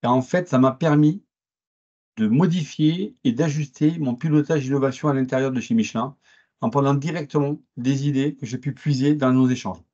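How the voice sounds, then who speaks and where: A man with a southern French accent, answering interview questions in a measured way, with frequent hesitations and restarts. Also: mid-range voice, dark tone, lo-fi sound. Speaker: interviewed guest.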